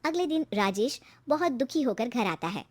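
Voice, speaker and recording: A woman with a high voice serves as narrator of a cartoon tale. She delivers scripted story narration between the scenes. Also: lively intonation, normal speed, a little mellow.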